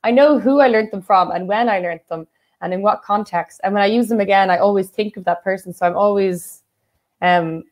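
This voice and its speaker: A woman's voice: medium pitch, fairly quick. An Irish woman, a writer and translator, talking as a guest in a casual interview and thinking aloud with many fillers. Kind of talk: casual conversation.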